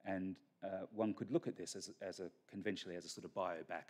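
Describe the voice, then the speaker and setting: Deep-voiced man giving a lecture. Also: steady tone, darkish tone. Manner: measured, with frequent fillers and restarts. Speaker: keynote speaker. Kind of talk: academic conference lecture. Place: large conference hall stage. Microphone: podium microphone.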